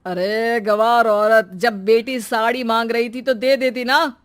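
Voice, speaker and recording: A man's voice: very high-pitched, little pitch movement, takes it slowly, fairly bright tone, clear, resonant tone, heavily acted. Man playing a harsh, domineering husband. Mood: angry. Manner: scolding, domineering. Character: harsh, belittling middle-aged husband and father.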